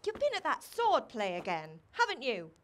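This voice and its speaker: Lively chiding medieval village mother. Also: high voice, normal speed. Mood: worried scolding. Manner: chiding, matter-of-fact. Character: brisk, scolding but caring medieval village mother and wife. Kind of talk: naturalistic video game acting.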